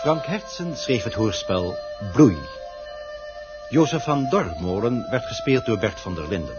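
A man's voice: medium pitch, expressive, unhurried. A man reading out credits as a radio announcer in a scripted style. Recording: dull old radio recording over loud music.

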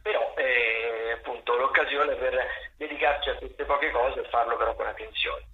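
Over a thin phone line with faint noise, a man talks through cultural listings for radio. His pitch is average, and he speaks off the cuff, often hunting for the next word. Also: steady tone, moderate pace. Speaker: radio contributor presenting the weekly theatre agenda. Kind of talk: semi-improvised spoken review of listings. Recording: narrow tinny phone line, noisy.

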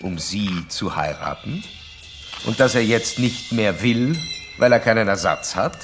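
An actor playing an insistent psychoanalyst who lectures a patient in formal, didactic, old-fashioned German radio drama style. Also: male voice, fairly low pitch, a little slow, music in the background, close to an everyday voice. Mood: lecturing. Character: male psychoanalyst doctor, insistent, certain, lecturing his young patient.

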